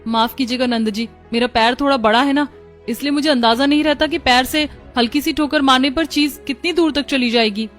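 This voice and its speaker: A woman's voice: high-pitched, brisk, fairly bright tone, faint music behind. A woman playing polite, homely young Indian daughters-in-law in a cartoon family story.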